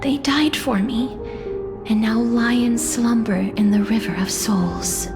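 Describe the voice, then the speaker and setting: A woman playing a gentle, solemn and wistful young woman in a fantasy game, soft-spoken and measured with breathy moments. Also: a little high, fairly even intonation. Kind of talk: scripted fantasy game dialogue. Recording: music behind the voice.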